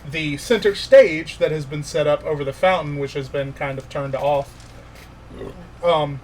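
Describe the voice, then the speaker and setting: A man working as game master, narrating a tabletop fantasy game in an informal, halting way with pauses. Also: fairly high pitch, a little hiss or hum, low-quality recording.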